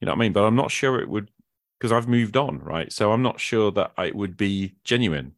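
A man's voice: fairly low pitch, expressive, fairly quick, no room sound, clean studio sound. A man hosting a podcast in an informal, rambling conversational way, thinking aloud as he goes. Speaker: podcast host. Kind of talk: casual conversational interview.

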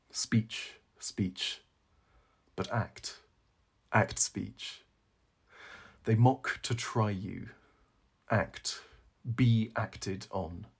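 A man reading a brooding, ironic young intellectual from a novel, musing in clipped, declamatory phrases with a husky voice. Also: fairly low pitch, drawn-out words, pauses often, full character voice.

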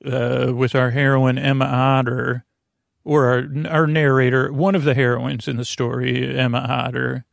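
A man hosting a sleep podcast, rambling informally through an introduction in a halting, meandering way, often repeating himself and trailing off mid-sentence. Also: medium pitch, level tone, creaky, no echo, clean studio sound. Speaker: podcast host.

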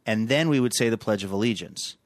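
Man, lowish voice, casual, wry, dry studio. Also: fairly quick, no room sound. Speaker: podcast guest. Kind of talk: casual chat.

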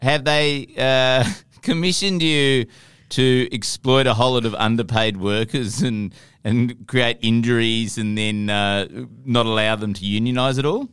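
Smooth-voiced man, dry close sound. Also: medium pitch, fairly even intonation, a little slow, a bit bright, dry recording. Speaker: podcast host. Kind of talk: casual comic banter.

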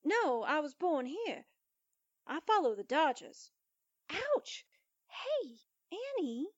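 A woman's voice: high voice, very animated, slow, no room sound, dull audio, exaggerated voice. An actress playing a brash, cheeky, scatterbrained newspaperwoman with perky delivery in a 1940s-style radio drama. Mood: wisecracking. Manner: perky, wisecracking.

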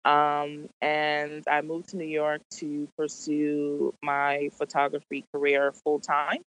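Low-voiced woman from Boston. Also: monotone, slow, low-quality recording. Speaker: photographer, guest. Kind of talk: casual conversation.

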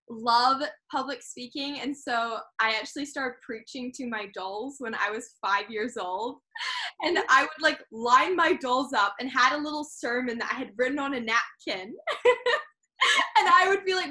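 A woman's voice: on the high side, pushed and bright. A young woman born in England and raised in New Zealand, a podcast guest chatting eagerly, laughing often and saying "like" a lot. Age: young adult. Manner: enthusiastic, laughs often, fillers like "like". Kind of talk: casual conversational interview.

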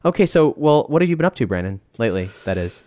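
A man's voice: mid-range voice, sing-song, fast, mellow, no room sound. A man hosting a podcast, reading a scripted intro and then chatting casually with frequent fillers. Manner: easygoing, hesitant fillers, joking. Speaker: podcast host.

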